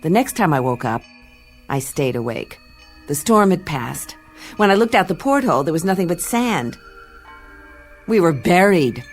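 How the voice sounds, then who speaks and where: A woman playing a wry, deadpan adventurous traveller in a radio play, with unhurried delivery. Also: lowish voice, faint music behind. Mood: brooding, unhurried. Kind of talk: radio play narration and dialogue.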